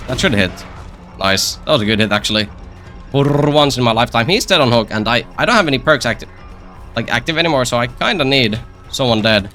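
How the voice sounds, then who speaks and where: A man's voice: medium pitch, animated, a little fast, sharp tone, faint music behind. A man giving casual gameplay commentary as a streamer, rambling and repeating phrases with self-deprecating, joking asides. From Finland. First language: Finnish. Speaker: game streamer.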